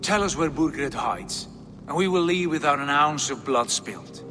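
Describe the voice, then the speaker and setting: An actor playing a coolly menacing Viking lord in a video game, speaking in a theatrical, deliberate, sardonic way. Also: male voice, a little high, lively intonation, natural voice. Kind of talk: dramatic video game acting.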